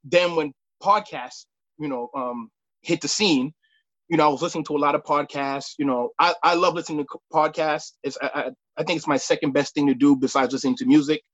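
Man in his thirties, fairly high pitch, casual talk, repeated words, dry dull audio. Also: steady tone, a bit bright, dry recording. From United States. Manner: halting, repeats words and phrases. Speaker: podcast guest, sports referee. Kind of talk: casual conversational interview.

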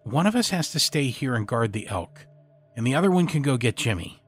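Man voicing a husky, bossy hunt leader. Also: medium pitch, big pitch swings, a little fast, soft background music, pitched above the performer's own voice. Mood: firm. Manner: commanding, clipped. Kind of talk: audio short story character acting.

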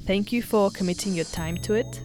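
A woman hosting a podcast, speaking in a slow, deliberate and measured way. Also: mid-range voice, music in the background. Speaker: podcast host. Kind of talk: podcast intro and interview.

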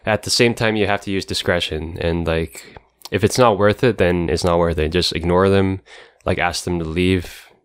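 A young man in casual chat, calm and measured, reasoning things through carefully. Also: low voice, smooth, no echo, clean studio sound. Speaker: podcast co-host.

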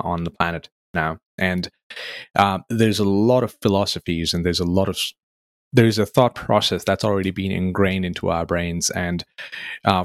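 A young man from Nepal, hosting an interview in a relaxed, chatty way with lots of "um" and "like". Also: low voice, no echo, clean studio sound. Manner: relaxed, chatty, many fillers like "um" and "like". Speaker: podcast host. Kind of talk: casual interview conversation.

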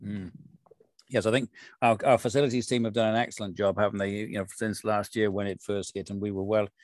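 A man's voice: fairly low pitch, little pitch movement, a little fast, a little mellow, dry recording. A man with a Welsh accent interviewing a guest in a chatty, meandering way with lots of fillers. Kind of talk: conversational interview.

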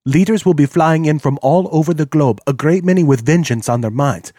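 A man voicing a courteous, formal male diplomat with a higher, measured delivery. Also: mellow, no echo, clean studio sound, natural voice. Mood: earnest.

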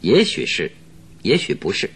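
An actor playing a self-assured, blunt master detective in a radio drama, composed and terse. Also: male voice, mid-range voice, wildly swooping pitch, unhurried, gravelly, fairly bright tone, breathy edge, exaggerated voice. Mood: pensive. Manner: terse, composed, dryly dismissive.

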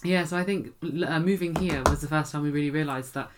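A young woman from England in casual chat, co-hosting, chatty and animated as she guesses. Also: deep voice, fast talker, background noise. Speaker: co-host.

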